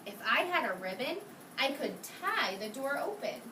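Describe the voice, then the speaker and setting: A woman voicing a mildly bothered storybook badger in a measured, explanatory way. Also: high voice, very animated. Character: grumbling storybook badger wanting a ribbon. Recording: roomy, noisy classroom from a distance.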